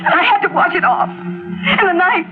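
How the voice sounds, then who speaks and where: A woman's voice: extremely high pitch, animated, normal speed, noisy background. An actress playing a jealous, unstable wife who narrates her confession in melodramatic old-time radio style. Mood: frantic. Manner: melodramatic, brooding first-person delivery. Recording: tinny vintage radio recording.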